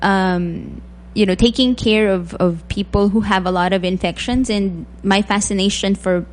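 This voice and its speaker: Thoughtful woman, measured speech. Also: mid-range voice, steady tone, slight background noise. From Philippines. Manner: measured, thoughtful, frequent um pauses, light laughter. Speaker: guest physician. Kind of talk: radio interview.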